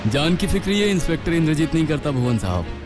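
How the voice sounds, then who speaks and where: A man's voice: fairly high pitch, very animated, quick pace, over music. A man playing a bold, inquisitive police inspector in a Hindi horror audio story, with dramatic questioning delivery. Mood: suspicious, resolute.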